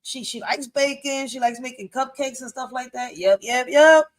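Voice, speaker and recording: Slangy excitable woman reacting. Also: high-pitched, moderate pace. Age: 30s. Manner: slangy, excitable, repeats herself. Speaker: reaction video host. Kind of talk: casual reaction commentary.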